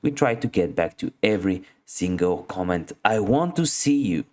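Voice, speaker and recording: A man's voice: deep voice, lively intonation, normal speed, darkish tone. Male tutorial host, casual delivery. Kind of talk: casual how-to narration.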